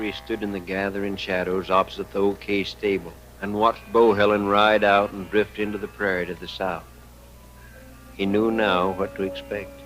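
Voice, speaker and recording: Grave western narrator played by a man, American, low voice, scripted vintage radio storytelling with music underneath. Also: a bit bright, close to an everyday voice, low-quality recording.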